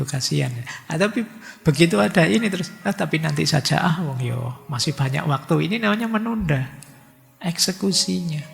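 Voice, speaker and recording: A man gives a relaxed religious talk, acting out anxious inner voices as he goes. His voice is slightly high and very gravelly. It rings with a long hall echo, with faint music underneath. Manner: chatty, mixes in Javanese words and acts out worried thoughts. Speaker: lecturer on philosophy and religion. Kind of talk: casual religious lecture.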